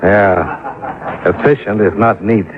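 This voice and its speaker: An actor playing a wry, hardboiled American investigator, narrating and talking in an old radio detective drama. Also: male voice, lowish voice, takes it slowly. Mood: wry, dry. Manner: wry, clipped, deadpan. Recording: tinny old radio recording with music.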